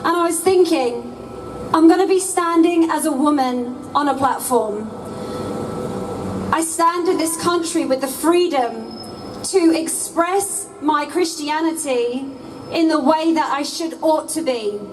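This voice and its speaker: A woman's voice: high-pitched, unhurried. A woman pastor giving an earnest, preacherly speech at an outdoor rally. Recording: distant mic with outdoor noise.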